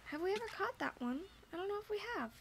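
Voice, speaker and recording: A woman's voice: very high voice, sing-song, racing, slight background noise. A woman giving casual let's play commentary on a farming game, musing aloud to herself as she plays. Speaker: streamer playing a farming game. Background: game music.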